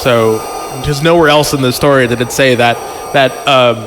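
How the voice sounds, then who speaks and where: A man's voice: mid-range voice, steady tone, normal speed, noisy background. A man hosting a comedic podcast, chatting casually and profanely as he reads a story aloud and breaks off to comment in amusement. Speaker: podcast host.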